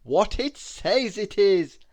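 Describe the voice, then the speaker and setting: A woman's voice: mid-range voice, expressive, slow pace, a little mellow, pitched above the performer's own voice. A woman voicing a kindly, cheerful storybook man who speaks in a hearty, emphatic, hopeful way. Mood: merry. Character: kindly, cheerful man, eager to help his friend.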